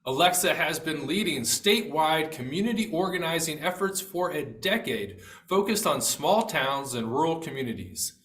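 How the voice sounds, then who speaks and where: A man moderating a church panel, reading out guest introductions in a steady, formal way. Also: fairly high pitch, echoey room, low-quality recording.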